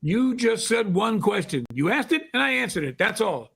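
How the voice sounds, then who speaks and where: A man's voice: sits high, sing-song, normal speed, creaky, pushed and bright, husky, heavily acted. A man playing an evasive, nervous shopkeeper in a vintage radio drama, curt and stammering with streetwise speech. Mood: defensive. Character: evasive, nervous, defensive male secondhand clothes dealer.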